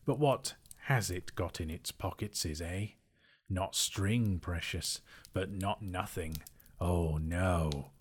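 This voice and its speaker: A man's voice: sits low, slightly rough, heavily acted. A man voicing a slimy, sly cave creature who hisses and whispers, dragging out his words. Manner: hissing, whispery, drawn-out words.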